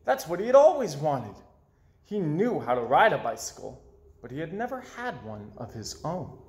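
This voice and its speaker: A young man reading a children's story aloud in an expressive way, with dramatic pauses. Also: on the high side. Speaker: storybook reader. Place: small indoor room with bookshelves. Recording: roomy, echoey indoor sound.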